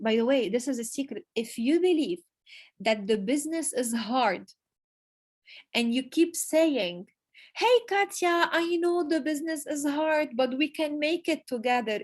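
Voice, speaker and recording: A woman giving an emphatic motivational talk to her business team, repeating key phrases and pausing for effect. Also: high-pitched, lively intonation. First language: Arabic. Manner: emphatic, repetitive, pauses for emphasis. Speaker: network marketing leader giving a motivational talk. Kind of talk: motivational speech.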